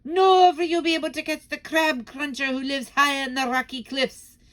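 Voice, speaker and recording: A woman's voice: fairly even intonation, moderate pace, lightly acted. A woman voicing an imperious, cruel female witch leader who shrieks and barks out commands. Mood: commanding. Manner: shrill, barking commands.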